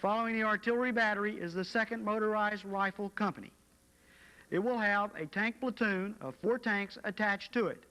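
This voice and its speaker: A man narrates a military training film in a formal, measured way. His voice sits high and sounds bright and forceful. The archival soundtrack carries a long reverb tail.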